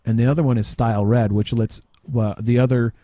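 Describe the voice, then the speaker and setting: A man giving an informal software demo, halting and tangential as he thinks aloud in casual talk. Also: medium pitch, steady tone, dry recording, clean studio sound. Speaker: podcast panelist demonstrating software.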